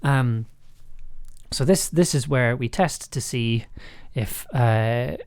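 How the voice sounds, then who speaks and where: A man with a raspy, throaty voice, talking casually and rambling through a livestream while producing an audio drama. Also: mid-range voice, takes it slowly, a little mellow. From United Kingdom. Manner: rambling, many restarts and fillers. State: raspy, throaty voice after illness. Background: music.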